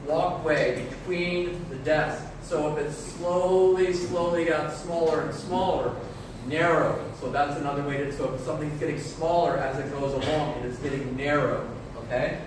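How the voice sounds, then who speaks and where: Teacher heard from far off in an echoey classroom. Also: male voice, on the high side, fairly even intonation, noisy background. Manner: slow, clear explanations with frequent praise. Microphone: camera far from the speaker.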